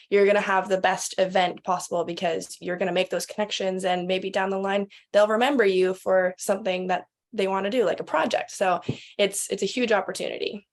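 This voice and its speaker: A woman with a mid-range voice speaks as a guest. She explains things casually, in a conversational interview style. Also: mid-range voice. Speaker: interview guest, expo organiser.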